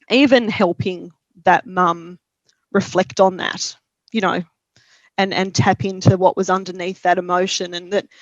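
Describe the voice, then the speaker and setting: A woman with an Australian accent, a parent helpline counsellor speaking calmly and reflectively in a webinar discussion. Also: medium pitch, dry recording, lo-fi sound.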